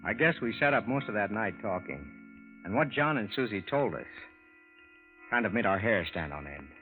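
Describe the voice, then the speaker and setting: A man's voice: on the low side, lively intonation, normal speed, close to an everyday voice. A man acting a folksy, skeptical, bewildered American husband and narrator in an old radio drama, in a colloquial style. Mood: reflective narration. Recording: tinny vintage radio recording, music behind.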